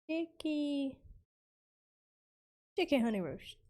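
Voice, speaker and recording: A woman's voice: high voice, sing-song, slow pace, warm tone. A woman streamer casually commenting on a game and chatting back at its characters in a folksy way. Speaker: gaming streamer. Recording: game music faintly behind.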